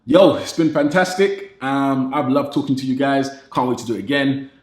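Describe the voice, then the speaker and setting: A man's voice: mid-range voice, normal speed, echoey room. Nasal British man chatting to viewers. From Britain. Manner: enthusiastic, repeats phrases, says "man" often. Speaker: fitness YouTuber. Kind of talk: casual talk to viewers.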